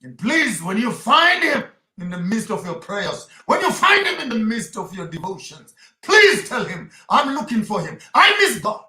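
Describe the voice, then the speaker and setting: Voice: mid-range voice, sing-song, normal speed, pushed and bright. A preacher delivering an impassioned sermon, emphatic and repeating phrases for effect, in a roomy, echoing recording.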